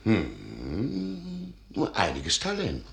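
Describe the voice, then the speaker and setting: A man's voice: on the low side, huge pitch swings, drawn-out words, vocal fry, fairly bright tone, full character voice. A man playing an eccentric older archivist in a radio play, formal and genial yet imperious. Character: eccentric, courtly older male archivist and wizardly master. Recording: roomy echo.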